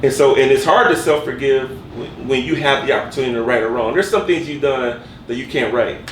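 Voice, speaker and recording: Man, mid-pitched, earnest, restarts and repeats words; off-mic in a roomy space with a faint air-conditioner hum. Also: a little fast, far from the mic. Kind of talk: casual podcast conversation.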